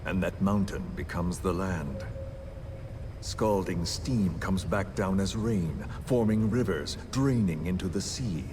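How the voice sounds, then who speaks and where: An actor playing a calm, coldly vengeful villain in a video game, delivering solemn, deliberate monologues. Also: male voice, sits low, background noise. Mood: solemn menace. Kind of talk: dramatic video game cutscene acting.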